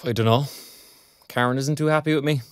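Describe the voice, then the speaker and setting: A man's voice: medium pitch, brisk, dry recording, close to an everyday voice. An actor playing a chatty, put-upon, good-natured younger father in an audio drama, speaking colloquially with an Irish accent. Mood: rueful, animated. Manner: colloquial, animated.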